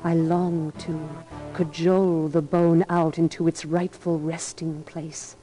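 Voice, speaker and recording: A woman voicing a brooding, bloodthirsty living sword, speaking in slow, solemn, poetic lines. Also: deep voice, flat delivery, mellow, rough recording. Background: music.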